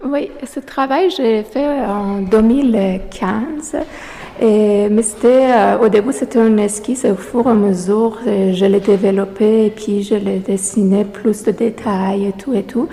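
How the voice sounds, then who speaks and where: Non-native woman artist, hesitant and halting, gravelly low-bright tone, gallery reverb. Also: steady tone. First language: not French. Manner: hesitant, many fillers and restarts. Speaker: exhibiting artist. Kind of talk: interview answers. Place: art gallery. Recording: long reverb of a gallery room.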